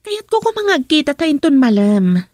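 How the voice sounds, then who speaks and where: A woman's voice: high voice, very animated, normal speed, darkish tone, no room sound, studio quality. An actress playing an upset, jealous young married woman in an Ilocano radio drama, with an Ilocano accent and an emotional, exasperated delivery. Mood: chatty.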